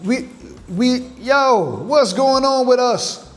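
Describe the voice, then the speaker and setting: Slow-talking man. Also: very high-pitched. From Caribbean. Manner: earnest, deliberate, addresses viewers as family. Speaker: channel host. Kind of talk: livestream commentary to viewers.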